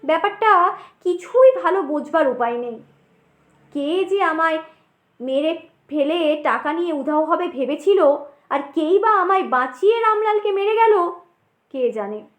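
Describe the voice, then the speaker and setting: A woman narrates a horror audiobook in a very high-pitched voice. Her delivery is dramatic and suspenseful, with deliberate pauses. The sound is noticeably echoey and roomy. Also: animated. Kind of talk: dramatic scripted ghost-story narration. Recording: roomy echo.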